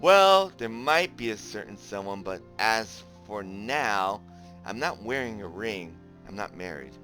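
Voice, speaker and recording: Man acting as young game protagonist, low voice, easygoing, bashful, casual hesitant delivery, music behind. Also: expressive, a little slow, sharp tone. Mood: musing. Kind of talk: visual novel game voice acting with inner narration.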